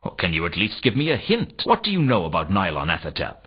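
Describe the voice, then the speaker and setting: Low-voiced, sardonic noir detective. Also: male voice, quick pace. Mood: sardonic, probing. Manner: sardonic, deadpan. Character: dry, world-weary hardboiled fantasy detective, adult man. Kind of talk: video game dialogue and noir narration. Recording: dull lo-fi game audio, faint noise.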